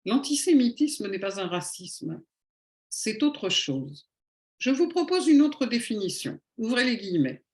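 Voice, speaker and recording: A woman giving a prepared public lecture in a didactic, rhetorical manner, with emphatic phrasing and deliberate pauses. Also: a little high, big pitch swings, darkish tone. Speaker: lecturer, conference speaker. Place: indoor room at a public talk. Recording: echoey room.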